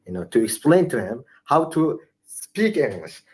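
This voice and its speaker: A man's voice: medium pitch, big pitch swings, a little slow, darkish tone, breathy edge. A young man with Arabic and Sorani Kurdish as his first languages practices English over a video call. He talks in a mid-range voice, stopping and restarting his words often as he searches for them. The sound comes through a call connection. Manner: hesitant, many restarts and fillers. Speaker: English learner. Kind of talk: casual online conversation practice.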